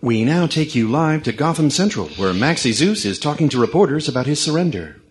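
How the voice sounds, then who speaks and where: A man's voice: on the high side, sing-song, fairly quick, a little hiss or hum. A man voicing a formal, showy television news reporter in a radio drama. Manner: announcing, showy. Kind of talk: newsreader parody in radio drama.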